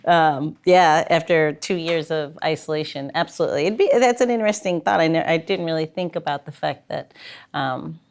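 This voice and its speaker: A woman's voice: low voice, normal speed. A woman hosting a podcast in a casual, chatty style. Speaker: podcast host. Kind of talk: casual chat.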